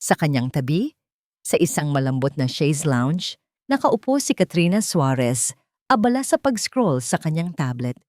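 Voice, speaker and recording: A woman narrates a dramatic story from a script. Her voice sits a little low. The recording is very dry, with no room sound. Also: very animated, moderate pace, darkish tone, no echo.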